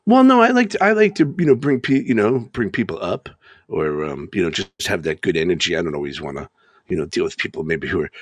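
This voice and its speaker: Older guy, husky voice, loud and rambly, dry home mic. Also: deep voice, big pitch swings, fast talker, no echo. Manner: rambling, filler-heavy, naturally loud. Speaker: guest and chat moderator. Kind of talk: casual chat. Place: home bedroom.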